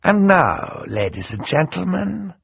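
A man's voice: high-pitched, very animated, a little slow, gravelly, fairly bright tone, breathy edge, no echo, pitched above the performer's own voice, full character voice. A man voicing a scheming, theatrical male villain who gloats and mocks, in an audiobook. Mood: gloating, grand. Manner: gloating, grand and mocking.

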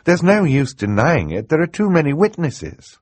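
A man's voice: a little high, expressive, takes it slowly, dry recording, voice pushed up. A man playing a tender, earnest woman judge who speaks carefully and with emotion, in an audiobook acting style. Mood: tearful, regretful.

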